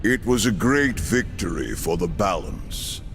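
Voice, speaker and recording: An imperious otherworldly judge in a game cutscene. The low, gravelly voice declaims portentously with sweeping emphasis over music. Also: male voice, lively intonation, a little slow, background noise. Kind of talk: video game cutscene acting.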